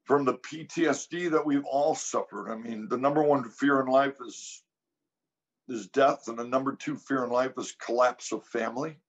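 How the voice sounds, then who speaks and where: A man giving personal testimony as a panel guest, earnest, with dramatic emphasis and pauses. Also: mid-range voice, steady tone, dull audio. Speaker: cannabis farm brand founder, panel guest.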